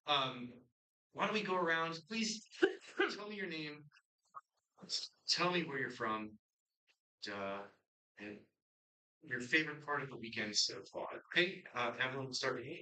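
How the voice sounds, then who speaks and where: A man's voice: fairly high pitch, animated, a little slow, pauses often, bright, forceful tone, poor audio. A man from Connecticut moderating a student quiz competition, chatting loosely with fillers and then reading questions out steadily.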